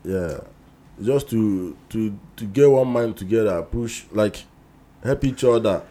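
A man with a Nigerian accent chatting as a musician guest in an interview, in halting, repeated short phrases. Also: lowish voice, mellow. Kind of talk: casual interview chat. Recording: faint background room noise.

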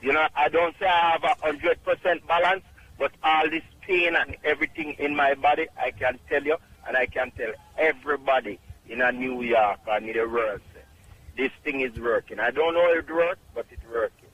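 A middle-aged man from Jamaica with a Jamaican accent, calling in to a radio show and speaking in an emphatic, halting, repetitive way. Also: medium pitch, fairly bright tone, highs cut off, slight background noise. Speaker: caller. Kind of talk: radio call-in testimonial.

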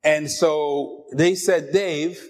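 A middle-aged man whose first language is French, preaching a lively, joking sermon and talking straight to the congregation. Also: a little high, extremely slow. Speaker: pastor.

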